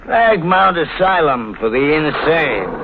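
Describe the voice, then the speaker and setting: Sly blackmailer, slangy, very swooping delivery, old radio thriller, dull recording. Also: male voice, on the high side, big pitch swings, takes it slowly, background noise, exaggerated voice. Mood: sly, menacing. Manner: casual, slangy, needling. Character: sly, insinuating stranger, a blackmailing former asylum worker. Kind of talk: 1950s radio thriller acting.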